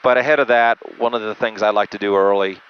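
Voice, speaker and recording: Male pilot, low even voice, patiently methodical and halting as he explains procedures, dull headset sound. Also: clean tone. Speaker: jet pilot. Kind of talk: unscripted instructional walkthrough. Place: small jet cockpit in flight. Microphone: aviation headset through intercom. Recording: muffled band-limited cockpit headset audio, engine noise.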